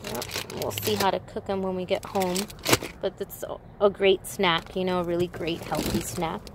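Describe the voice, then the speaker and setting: A woman's voice: lowish voice, flat delivery, normal speed, gravelly. Congested, nasal-sounding woman chatting. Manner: rambling, self-correcting, chatty asides. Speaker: haul vlogger. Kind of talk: casual chatty product haul. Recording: noisy background.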